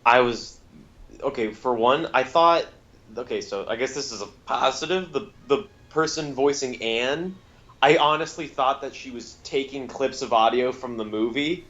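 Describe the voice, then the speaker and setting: A man's voice: medium pitch, moderate pace, a bit bright, muffled recording. A man co-hosting a casual review podcast, chatting conversationally. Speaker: podcast co-host.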